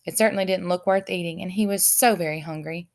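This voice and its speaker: A woman reading a children's story aloud as an expressive narrator. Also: lowish voice, fast. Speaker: audiobook reader.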